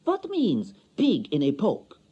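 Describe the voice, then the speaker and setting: A man voicing a playful wife who speaks slow, halting English in a short story reading. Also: high voice, huge pitch swings, warm tone, slightly rough, highs cut off, pitched above the performer's own voice, heavily acted. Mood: eager, pleading. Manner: slow, broken English phrasing.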